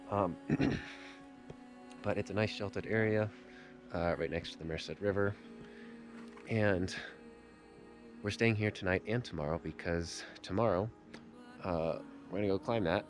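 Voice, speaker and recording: A man casually narrating a tour of a riverside backpacking campground in a relaxed, chatty way. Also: sits low. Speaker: backpacking vlogger. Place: outdoor backcountry campground by a river. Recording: voice with music playing underneath.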